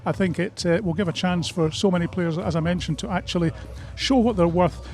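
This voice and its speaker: Male sports radio interviewer, Scottish accent, high voice, brisk delivery, conversational and live. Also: fairly even intonation, darkish tone.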